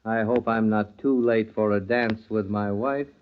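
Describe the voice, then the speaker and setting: An actor playing a menacing returned husband in old radio horror style, calm, deliberate and mockingly polite. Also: male voice, on the low side, natural voice. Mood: sinister calm. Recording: muffled, phone-like old radio recording.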